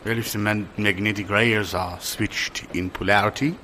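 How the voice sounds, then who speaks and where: A man's voice: fairly low pitch, steady tone, moderate pace, sharp tone, slight background noise. A man playing an officious, smug railway border official in an improvised comedy sketch, talking in hesitant mock-reasonable bureaucratic patter.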